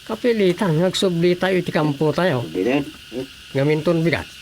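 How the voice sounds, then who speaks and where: Man acting an anxious soldier, highish voice. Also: normal speed, darkish tone, slight background noise, natural voice, rough recording. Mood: troubled. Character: anxious, loyal army lieutenant in the field. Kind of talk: radio drama acting.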